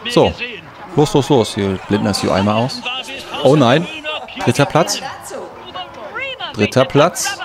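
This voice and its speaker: A man's voice: medium pitch, animated, slow, long pauses, a crowd in the background. A man giving casual gameplay commentary, thinking aloud in an offhand, colloquial way. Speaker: let's play host.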